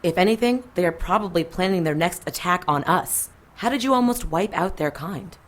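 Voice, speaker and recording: An actress playing a feisty, skeptical policewoman with a sharp tongue in an audio drama. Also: lowish voice, animated, normal speed. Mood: wary. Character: feisty, skeptical armed female police officer, sidekick.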